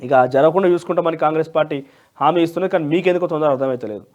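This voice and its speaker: A man's voice: fairly high pitch, very fast, a little mellow, highs cut off. A man with a Telangana Telugu accent presenting a newspaper review with opinionated commentary in a conversational, emphatic way. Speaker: news presenter.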